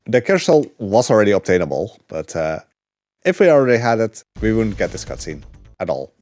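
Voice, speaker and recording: A man speedrunning a game live and talking through it in a relaxed, chatty way, with plenty of fillers. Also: on the low side, a little fast, no echo, soft background music. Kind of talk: live gameplay commentary.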